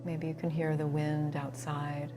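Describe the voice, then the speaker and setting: A woman leading a guided relaxation as a yoga instructor, soothing and unhurried, with pauses between phrases. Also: low-pitched, completely flat delivery, music in the background.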